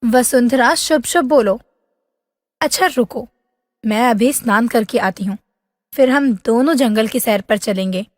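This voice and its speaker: A woman playing a young, dreamy princess in a Hindi fairy tale, speaking in a soft, expressive, dramatic way. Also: fairly high pitch, a little slow, long pauses, no room sound, clean studio sound, in a large hall. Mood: gentle.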